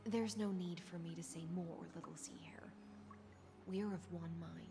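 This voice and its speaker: Game music sits under a lazy, dangerous noblewoman of the sea, a little lower-pitched than most women. She talks softly and without hurry, sometimes close to breathy, mixing teasing with cold philosophy. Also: steady tone, measured pace. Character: languid, menacing sea-born noblewoman. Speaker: game character. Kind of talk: video game voice acting.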